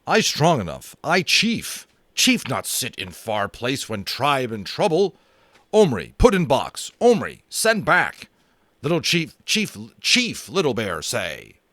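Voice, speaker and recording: Stubborn wounded chief, voiced by a man, clipped commanding phrases, raised loud voice, lively. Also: medium pitch, slow pace, no echo, voice pushed up, full character voice. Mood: insistent. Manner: broken clipped speech, commanding. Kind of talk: audiobook character voice.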